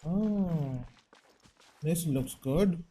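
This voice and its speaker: A man's voice: fairly high pitch, expressive, drawn-out words, mellow. A man with an Indian accent, a native Hindi speaker, doing casual gameplay commentary and reading dialogue aloud. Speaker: gaming streamer.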